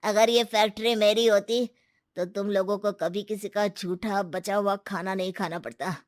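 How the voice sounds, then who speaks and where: A woman voicing a kind young male waiter in a cartoon story, speaking softly and sympathetically. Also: mid-range voice, fairly even intonation, normal speed, no echo, studio quality, natural voice. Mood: sympathetic.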